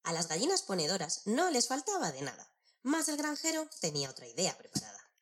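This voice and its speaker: A woman narrating a children's story in an expressive storytelling style. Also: medium pitch, fairly quick, faint music behind.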